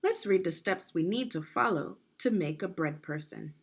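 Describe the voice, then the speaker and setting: A woman reading aloud as a teacher for young children, explaining things deliberately and checking in with questions. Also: on the low side, animated, husky.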